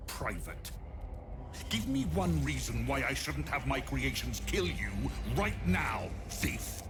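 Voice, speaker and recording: An actor playing a haughty, sinister necromancer in a video game, with pompous, theatrical, drawling delivery. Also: male voice, medium pitch. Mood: menacing. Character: sinister, haughty male necromancer, vain about his grisly creations. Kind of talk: dramatic video game acting.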